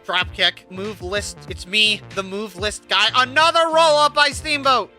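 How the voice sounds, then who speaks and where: A man doing casual, excitable commentary over a wrestling video game, swearing and laughing, swinging from mumbles to yells. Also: sits high, measured pace, soft background music. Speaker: gaming YouTuber.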